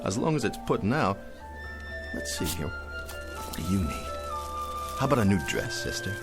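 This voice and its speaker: A good-hearted, bewildered and boozy store Santa in a vintage radio play. His voice is low and swings widely in pitch, with florid, theatrical phrasing over prominent music. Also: big pitch swings, normal speed. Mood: merry, bewildered. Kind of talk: vintage-style radio drama acting.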